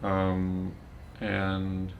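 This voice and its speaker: Easygoing young man with a gravelly voice. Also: sits low, completely flat delivery, drawn-out words, a little hiss or hum. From Venezuela. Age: young adult. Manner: easygoing, laughs often. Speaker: volunteer. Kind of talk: casual conversation. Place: charity kitchen.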